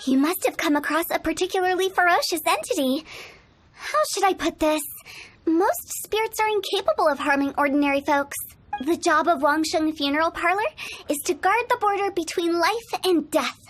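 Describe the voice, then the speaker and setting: A woman voicing a mischievous young female funeral parlor director in a game, playful and teasing, with spooky jokes and a salesy pitch. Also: moderate pace. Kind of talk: video game voice acting. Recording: game audio with faint music. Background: faint game music.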